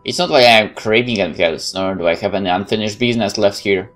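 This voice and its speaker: Low-voiced man reading a game aloud. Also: fast, fairly bright tone, faint music behind. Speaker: gaming YouTuber. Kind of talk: let's play read-aloud narration with casual commentary.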